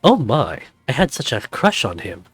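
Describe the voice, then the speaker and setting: A man's voice: medium pitch, wildly swooping pitch, normal speed, breathy edge, faint music behind. Man putting on a flamboyant, lilting older snow leopard. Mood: wistful, fond.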